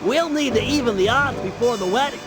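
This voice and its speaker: A man's voice: very high-pitched, brisk. A man voicing a brainy, earnest animal sidekick in a video game, explaining things in a precise, bookish way. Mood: determined briefing. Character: brainy, earnest male animal sidekick who plans the heists. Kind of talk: video game character acting. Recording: prominent music behind the voice.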